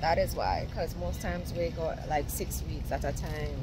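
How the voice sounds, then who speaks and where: A woman's voice: low voice, moderate pace, very noisy. A young woman with a Jamaican accent, chatting casually to a phone camera as she runs errands in her car and a warehouse store. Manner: chatty, rambling, explaining as she goes. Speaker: vlogger. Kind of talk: casual vlog narration. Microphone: handheld phone camera at arm's length.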